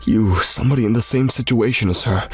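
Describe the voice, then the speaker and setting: A man voicing a kind, fond stepfather in a manga dub, speaking softly and haltingly. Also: lowish voice, husky, faint music behind. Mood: tender, wistful. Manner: soft, halting, tender farewell talk. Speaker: voice actor in a fan manga dub.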